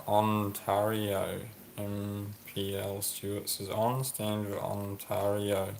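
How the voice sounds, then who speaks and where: Man hosting a livestream chat, slow and musing, noisy background with dishes. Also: fairly low pitch. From Australia. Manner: slow, musing, reads comments aloud and ponders. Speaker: livestream host reading chat.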